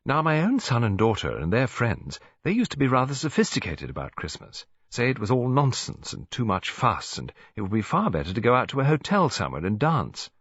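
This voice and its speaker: A genteel English lady near seventy, as a male narrator puts her on, chatting and rambling politely with very lively, swooping pitch. The voice is a little husky, in a dull, close, dry audiobook recording. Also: fairly quick, few pauses, no room sound, exaggerated voice. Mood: chatty, confiding. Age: older.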